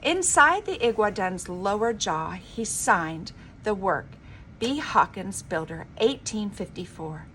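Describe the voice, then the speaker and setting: A woman reading a children's storybook aloud like a teacher, with an expressive, emphatic delivery. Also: medium pitch, slow pace. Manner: dramatic, emphatic delivery with informal asides. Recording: clearly noisy homemade recording.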